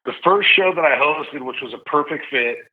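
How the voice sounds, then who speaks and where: A man's voice: on the high side, moderate pace. Chatty man on a tinny line. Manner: energetic, chatty, says "dude" and "like" often. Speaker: podcast guest, sports announcer. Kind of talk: casual storytelling interview. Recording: narrow phone-like sound.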